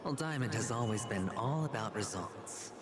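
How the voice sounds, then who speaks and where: A man's voice: on the low side, animated, normal speed, noisy background, natural voice. A man playing a smug, lilting young gambler. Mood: confident. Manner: sardonic, self-assured. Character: cool, smug young male high-stakes gambler. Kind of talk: dramatic video game voice acting. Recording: loud game music behind the voice.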